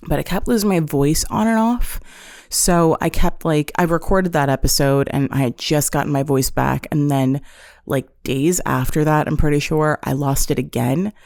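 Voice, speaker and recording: A woman's voice: low-pitched, darkish tone, no room sound, studio quality. A woman in her twenties hosting her own podcast alone, chatting casually and self-deprecatingly from her apartment. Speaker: solo podcast host. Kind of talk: casual confessional chat. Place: apartment room. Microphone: on-camera setup.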